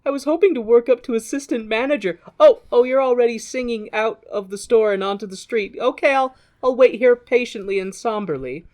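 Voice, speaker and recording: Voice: medium pitch, a little fast, few pauses, a little mellow, pitched above the performer's own voice, natural voice. A podcaster voicing a meek, overlooked assistant in a hesitant, stammering, pleading way while retelling a film. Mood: anxious, dejected.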